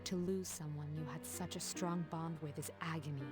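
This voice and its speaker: A woman's voice: sits low, steady tone, moderate pace, close to an everyday voice. A woman voicing a composed, earnest older schoolgirl in a video game, speaking formally and solemnly. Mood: tender, earnest. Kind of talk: dramatic video game voice acting. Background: music.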